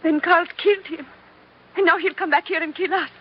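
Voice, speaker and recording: An actress playing a scheming, frightened femme fatale in breathy, urgent 1940s radio melodrama. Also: female voice, very high-pitched, steady tone, a little fast. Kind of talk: 1940s radio drama acting. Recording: muffled, noisy old radio recording.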